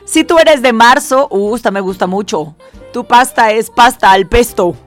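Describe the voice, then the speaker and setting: Bubbly higher-voiced woman radio host. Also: normal speed, soft background music. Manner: bubbly, reads lists with playful emphasis. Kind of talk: radio segment hosting. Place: radio studio.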